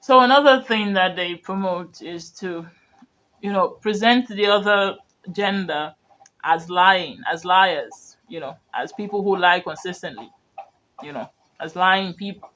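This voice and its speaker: High-voiced man. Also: measured pace, sharp tone. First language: Yoruba. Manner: rambling, earnest, full of "you know" and restarts. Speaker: media commentator. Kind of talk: reaction commentary on video clips.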